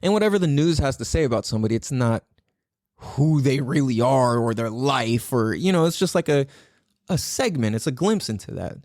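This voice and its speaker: A man's voice: mid-range voice, lively intonation, moderate pace, darkish tone, no room sound, clean studio sound. A man hosting his own podcast in a casual solo talk, swearing freely, laughing often and repeating words for emphasis. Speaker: podcast host.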